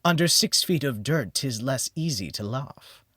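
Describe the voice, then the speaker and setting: A man performs a boisterous, tipsy young man from a gothic storybook, reciting bawdy tavern verse. The voice leaps high and swings wildly through the rhymes with rowdy, declamatory cheer. It is recorded very dry. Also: expressive, measured pace, bright, forceful tone, husky, no room sound, exaggerated voice. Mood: boisterous, drunken.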